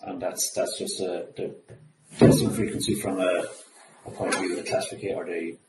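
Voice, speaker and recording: A man giving a technical webinar presentation in a halting way, often restarting his phrases. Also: sits low, level tone. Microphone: video call. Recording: echoey, faintly noisy webinar audio.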